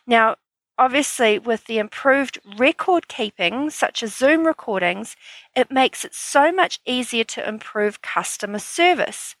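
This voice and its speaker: A woman's voice: on the high side, lively intonation, a little slow, a bit bright, dry recording, rough recording. Stuffy-nosed upbeat woman podcast host. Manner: upbeat, chatty and explanatory. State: stuffy from hay fever. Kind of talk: solo business podcast talk.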